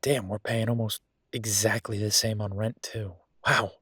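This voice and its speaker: Man acting as a low, husky, easygoing boyfriend. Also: flat delivery, moderate pace, bright, forceful tone, no echo, studio quality. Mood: thoughtful, hopeful. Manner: hesitant, rambling, conversational. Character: affectionate young male werewolf boyfriend, earnest and easygoing. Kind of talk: intimate audio roleplay, casual conversational acting.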